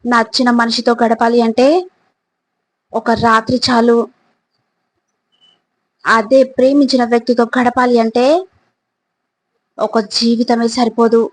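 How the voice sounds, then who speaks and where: Slow, even-toned woman narrating motivational quotes. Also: fairly high pitch, poor audio. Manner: deliberate, pausing between short phrases, addressing the listener directly.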